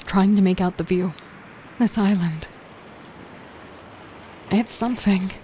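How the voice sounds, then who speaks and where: A woman giving steady first-person narration for an audio drama. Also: medium pitch, a little hiss or hum. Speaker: narrator and lead voice actor.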